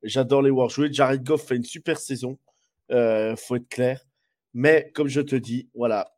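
Male co-host, mid pitch, stuffy from a cold, loose rambling delivery. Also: fairly even intonation, a little slow, a little mellow. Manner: rambling, many fillers and restarts. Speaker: podcast co-host. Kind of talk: casual sports chat.

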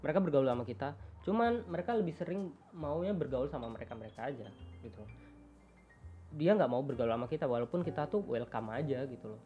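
A man from Java talking in a lively, slangy way as a podcast host. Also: medium pitch, fast talker, phone-quality sound, soft background music. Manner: animated, chatty, slangy. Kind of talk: casual chat.

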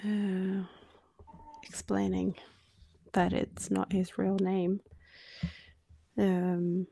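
Creaky, soft-spoken Norwegian woman in her twenties. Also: medium pitch, no echo. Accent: Norwegian with Australian-English tinge. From Norway. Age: 20s. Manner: soft-spoken, drifting into hushed near-whispers. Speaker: podcast co-host. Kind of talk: candid conversational podcast chat.

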